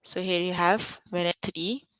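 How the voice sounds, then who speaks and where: A woman's voice: on the low side, steady tone, unhurried, fairly bright tone, clean tone, no echo, poor audio. A young adult woman teaching a live online math lesson over a computer mic, stopping often to check that students follow. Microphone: computer mic on an online call.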